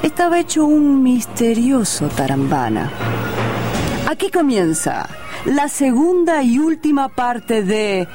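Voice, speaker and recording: A woman's voice: high-pitched, sing-song, slow pace. A woman narrating a comic radio play in a dramatic, scripted style. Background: music.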